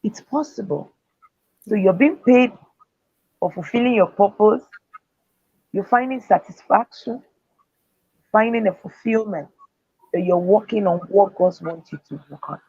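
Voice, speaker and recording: A woman's voice: medium pitch, unhurried, pauses often, dark tone, breathy edge, dry recording. Woman guest, earnest motivational speaker, dry muffled lo-fi recording. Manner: earnest, faith-driven motivational talk. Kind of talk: interview answers. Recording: dull, dry lo-fi sound.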